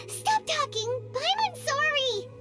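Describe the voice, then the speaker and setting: A woman voicing a tiny, excitable childlike floating sprite in a video game, squeaky and exclamatory, with music behind her. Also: drawn-out words, full character voice. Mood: flustered, excitable. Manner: squeaky, exclamatory, speaks of herself in third person. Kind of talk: animated game character dialogue.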